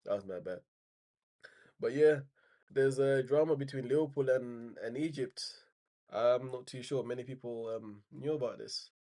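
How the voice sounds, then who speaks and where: A man's voice: mid-range voice, normal speed, dark tone. A man with a slight cold and a bit nasal, casually running through football news in a rambling way with many fillers and restarts. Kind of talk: casual spoken news roundup.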